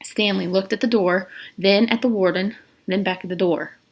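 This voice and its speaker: A woman's voice: medium pitch, fairly even intonation, normal speed. A woman narrating a novel as a read-aloud, reading expressively with an occasional stumble. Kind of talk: storybook read-aloud with brief asides.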